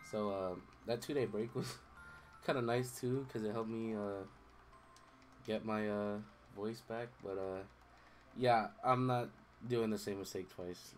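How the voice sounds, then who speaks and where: A man's voice: on the low side, steady tone, unhurried. Rambling young man streaming a game. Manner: rambling, distracted, swears casually. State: voice recovering from strain. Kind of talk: casual gameplay commentary. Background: game music.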